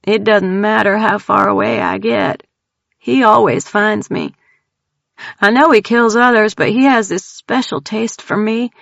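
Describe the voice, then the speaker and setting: A woman voicing a frightened, tearful young woman with an East Texas accent, talking in a high-strung, rapid way. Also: creaky, fairly bright tone, no echo. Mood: frantic. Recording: dull dry audio.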